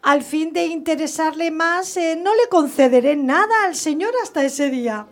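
A woman playing a demure, quietly scheming young maid in radio theatre, coy and deferential. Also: high voice, normal speed, faint music behind. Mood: obliging, assured.

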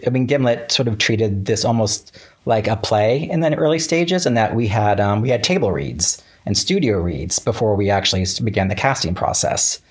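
Guy guest on an interview, deep-ish raspy voice, chatty, keeps restarting his sentences. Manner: chatty, hesitant false starts, quoting himself conversationally. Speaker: interview guest, writer of an audio drama. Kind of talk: casual interview chat.

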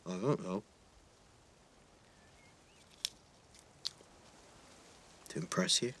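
A man's voice: deep voice, fast talker, pushed and bright, slight background noise. An actor playing a shy, earnest teenage schoolboy, hesitant and flustered, repeating his words in a naturalistic audio drama. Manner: hesitant, stammering repetitions, flustered.

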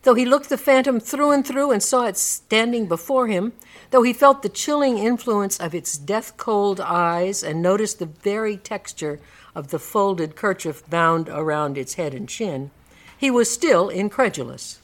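A woman's voice: medium pitch, lively intonation, a little slow. A woman narrator reading a classic story aloud as dramatic storybook narration for a radio play.

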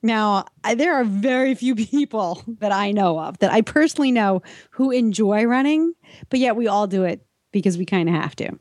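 A woman's voice: medium pitch, lively intonation, moderate pace, a little mellow, dry recording, clean studio sound. A woman co-hosting a show, speaking in a wry, informal, comedic way. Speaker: podcast co-host. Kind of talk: chatty introduction and comedic list reading.

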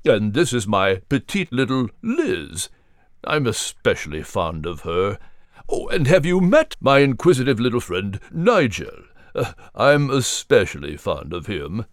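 A man voicing a wise, kindly mentor figure who speaks in a gentle, fatherly, measured way. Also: medium pitch, huge pitch swings, dry recording, clean studio sound, voice pushed down.

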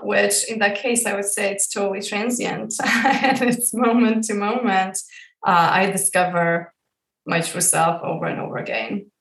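A woman from Croatia speaks as an interview guest, feeling her way through each thought with fillers and restarts. Her voice sits at an ordinary middle pitch. The sound is roomy, and she is set back from the microphone. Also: a bit bright, far from the mic. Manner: searching, halting, many fillers and restarts. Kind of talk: reflective personal interview.

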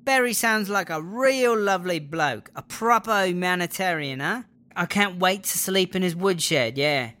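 A woman's voice: on the low side, animated, unhurried, fairly bright tone. A woman with a British accent playing a jealous, bitter, foul-mouthed girlfriend in a comedy audio drama, sarcastic and exasperated. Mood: bitterly sarcastic.

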